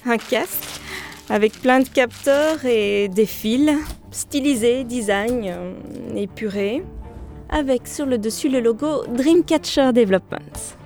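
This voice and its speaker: A woman plays a candid radio show writer in an audio drama. Her voice is fairly high, informal and expressive. Light music plays behind her. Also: slow. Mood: musing, curious. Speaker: radio studio staffer, narrator. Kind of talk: audio drama dialogue and first-person narration.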